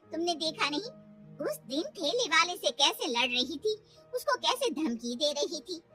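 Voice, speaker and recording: A woman's voice: sits high, big pitch swings, unhurried, over music. A woman voicing a gossiping, disapproving neighbourhood woman in a cartoon, with a judgmental manner.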